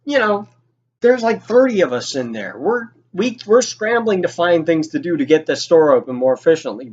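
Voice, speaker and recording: A man's voice: medium pitch, highs cut off. A young man telling a personal anecdote in an informal, sweary, exasperated way, acting out what people said. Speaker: storyteller. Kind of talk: animated personal anecdote with acted-out quotes.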